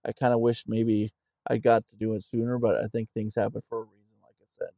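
A man's voice: on the low side, level tone, a little fast, creaky, dry recording, dull audio. A middle-aged man, an army veteran guest on a podcast, talking in a plainspoken way and telling stories. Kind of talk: conversational interview.

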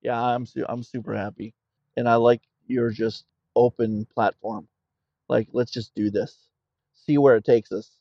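A man's voice: medium pitch, fairly even intonation, measured pace, dry recording, highs cut off. A middle-aged man, an army veteran guest on a podcast, talking in a plainspoken way and telling stories. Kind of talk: conversational interview.

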